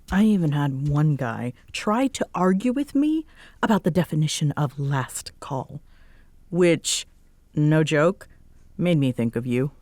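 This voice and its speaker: A woman's voice: sits low, expressive, slow, darkish tone, dry recording. A woman playing a tired, sarcastic bartender roommate who teases but is secretly fond, in an intimate roleplay audio. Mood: weary sarcastic. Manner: sarcastic, teasing, hesitant when sincere. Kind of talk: roleplay audio acting, intimate one-sided conversation.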